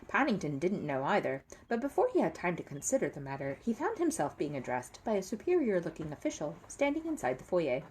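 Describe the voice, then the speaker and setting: Woman, storybook narrator, lowish pitch, hugely varied intonation, expressive delivery. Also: a little fast, hardly any pauses, a little hiss or hum, rough recording. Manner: expressive, dramatic emphasis. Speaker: storybook reader. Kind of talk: animated children's story narration with character voices.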